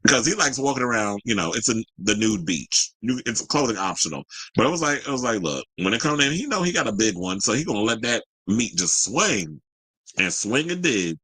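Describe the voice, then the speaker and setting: A man's voice: medium pitch, very animated, brisk, sharp tone, husky, no echo, low-quality recording. A man hosting casual gossip commentary, chatty and candid, with a sassy, colloquial way of talking to his audience. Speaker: host of a commentary show.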